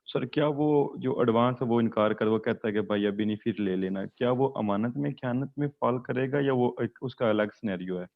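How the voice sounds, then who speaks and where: A man's voice: medium pitch, moderate pace, creaky, hardly any pauses, dry recording. A man, a student politely asking questions in a class, heard over a thin phone-like connection. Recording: muffled, thin phone-like line.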